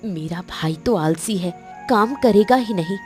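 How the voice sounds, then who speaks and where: A mellow-voiced village wife from a cartoon moral story , acted by a woman, emotional and calculating. She speaks with earnest, deliberate drama in a mid-pitched voice, over faint music. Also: natural voice. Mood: earnest, wary.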